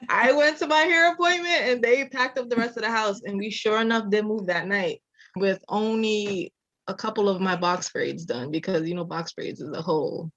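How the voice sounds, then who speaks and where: A woman's voice: mid-range voice, moderate pace, fairly bright tone, no echo, dull audio. A woman in her thirties, born in Jamaica and raised in Massachusetts, telling her story in a casual interview with a reflective manner and frequent laughs. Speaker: architecture designer, guest.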